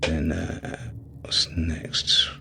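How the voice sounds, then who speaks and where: A man's voice: very slow, whispered, music in the background. A man giving casual gameplay commentary as a reviewer, often hesitant and thinking aloud. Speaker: video game reviewer.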